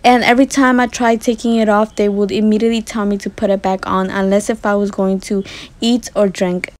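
A woman's voice: mid-range voice, little pitch movement, a little fast, no echo. A woman in her twenties, from Ecuador, narrating her travel vlog in a chatty, earnest voice-over. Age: 20s. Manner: chatty, earnest, laughs softly. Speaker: vlogger.

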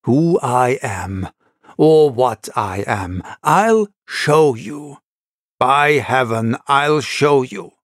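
A man's voice: medium pitch, expressive, drawn-out words, no echo, studio quality. A man voicing a mysterious, short-tempered stranger in a storybook, menacing and dramatic, with an emphatic, commanding delivery. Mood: furious, menacing.

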